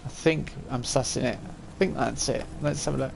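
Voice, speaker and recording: A man's voice: medium pitch, steady tone, moderate pace, creaky, noisy background. A man in his forties giving casual live-stream commentary as he plays, chatting and thinking aloud. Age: 40s.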